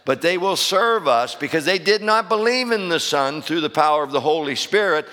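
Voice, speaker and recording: Male preacher, a bit high and hoarse, calling out to the pews, booming in a reverberant sanctuary. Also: lively intonation, moderate pace, pushed and bright. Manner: hollering, call-and-response preaching. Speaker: pastor. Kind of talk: fiery sermon. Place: church sanctuary. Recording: long reverb of a church hall.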